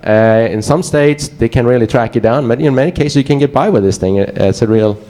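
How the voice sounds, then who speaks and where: A man's voice: mid-range voice, fairly quick, warm tone. A man with a Swedish accent giving a casual, joking conference presentation to a live audience. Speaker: conference speaker.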